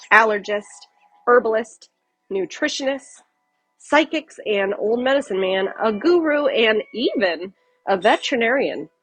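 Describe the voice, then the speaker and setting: A woman narrates a children's storybook in a fairly high, very animated voice. She reads slowly and expressively, with a breathy edge and deliberate phrasing. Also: poor audio.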